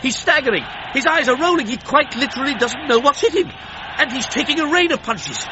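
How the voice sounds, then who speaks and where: An actor playing a formal 1930s British radio commentator with a received-pronunciation English accent and a florid, precise delivery. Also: male voice, extremely high pitch, lively intonation, background noise. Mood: astonished, excited. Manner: measured, stately, precise, florid. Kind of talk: 1930s outside-broadcast commentary. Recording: muffled vintage broadcast, music behind.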